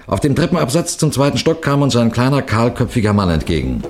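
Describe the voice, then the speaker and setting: A man playing a seasoned, composed police detective who narrates a 1960s radio crime drama in a measured, precise, formal way. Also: mid-range voice, very animated, a little hiss or hum, close to an everyday voice. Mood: grave, suspenseful. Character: seasoned male police detective telling his cases, composed, authoritative.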